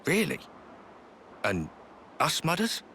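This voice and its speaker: A grumbling British foot soldier. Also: male voice, on the high side, wildly swooping pitch, slow, gravelly, pushed and bright, slight background noise, full character voice. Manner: colloquial, grousing. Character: grumbling, low-ranking male soldier, nostalgic and deferential. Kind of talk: scripted video game voice acting.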